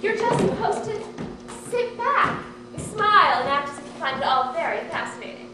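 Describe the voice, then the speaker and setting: A woman's voice: extremely high pitch, big pitch swings, normal speed. Genteel, theatrical aunt played by a woman, honeyed and pleading, heard far off in a very echoey theatre. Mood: patient, gracious. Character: genteel, coaxing older Southern aunt drilling her niece in charm. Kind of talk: stage play acting. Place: theatre stage, recorded from far off. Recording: distant, echoey stage recording with loud noise.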